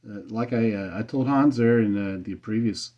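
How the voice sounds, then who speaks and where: Low, mellow American man, casual. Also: normal speed, slight background noise. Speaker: amateur radio operator and video host. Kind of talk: casual radio chat. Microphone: USB desk condenser microphone. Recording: slightly dull desk microphone recording.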